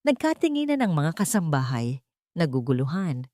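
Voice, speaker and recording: A woman's voice: deep voice, sing-song, normal speed, mellow, no echo, studio quality. A woman with a Filipino accent narrating a dramatic audio story, voicing the characters' lines herself.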